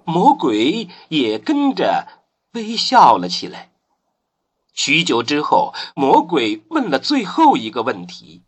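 A man narrating a radio drama as a solemn, expressive storyteller. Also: a little high, slow pace, breathy edge, exaggerated voice. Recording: voice over prominent music.

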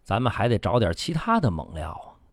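Guy doing a nasty cynical boss in a radio play, super animated, dry close sound, talks down and swears. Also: lowish voice, fairly quick, dry recording, heavily acted. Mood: smug, instructing. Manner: domineering, swearing, condescending, badgering with questions. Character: bullying, cynical male media boss chasing clicks. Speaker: boss in a satirical radio drama. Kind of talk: radio drama acting.

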